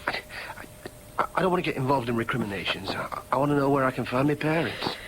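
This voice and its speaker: A man's voice: mid-range voice, expressive, fast talker, fairly bright tone, a little hiss or hum, natural voice, poor audio. An actor playing an earnest, bewildered young working-class man, hesitant and stammering, in a radio drama. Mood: earnest, flustered. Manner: hesitant, stammering, plain-spoken.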